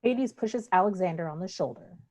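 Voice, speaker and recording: A woman's voice: fairly low pitch, lively intonation, brisk, a little mellow. Woman narrator reading stage directions.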